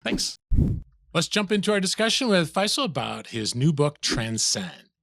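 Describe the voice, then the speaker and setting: A man's voice: a little high, big pitch swings, normal speed, vocal fry, fairly bright tone, dry recording, clean studio sound. A man hosting a podcast, giving a polished, enthusiastic introduction and asking questions. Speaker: podcast host.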